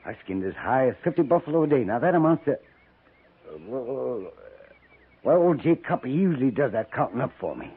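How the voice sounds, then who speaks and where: An actor playing a coarse, boastful buffalo skinner in a 1950s radio western, talking in a rough, folksy, rambling frontier manner. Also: male voice, mid-range voice, big pitch swings, moderate pace, phone-quality sound. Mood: gleeful boasting. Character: boastful, earthy old-West buffalo skinner, coarse and relishing. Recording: thin, band-limited 1950s radio recording.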